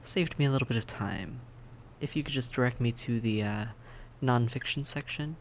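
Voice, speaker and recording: A man playing a suave, polite vampire in an audio roleplay, courteous and measured, with a softly teasing menace. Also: mid-range voice, a little hiss or hum, natural voice. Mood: polite, cheerful. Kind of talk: scripted audio roleplay acting, addressed to the listener.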